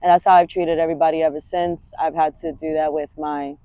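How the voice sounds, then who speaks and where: A woman's voice: deep voice, level tone, a little fast, warm tone, smooth, dry recording. A woman, a fitness coach talking candidly in a rambling, casual vlog and swearing freely. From Washington Heights, New York. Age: 30s.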